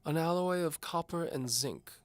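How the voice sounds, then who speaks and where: A man's voice: a little high, moderate pace, no echo, pitched above the performer's own voice, close to an everyday voice. A man voicing a doddering, pompous old duke and amateur alchemist in an audio drama, fussy and formal. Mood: appraising. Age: older. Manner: pompous, formal, hesitant blustering. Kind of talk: audio drama character acting.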